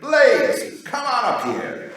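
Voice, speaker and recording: An actor playing a smug, pompous, theatrical reptilian leader giving a ceremonial speech in an audio drama. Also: male voice, very high voice, wildly swooping pitch, slow, background noise, full character voice. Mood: jovial, showy. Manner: pompous, showy. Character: smug, theatrical male reptilian overlord addressing his gathering. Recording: very echoey hall, distant mic, crowd.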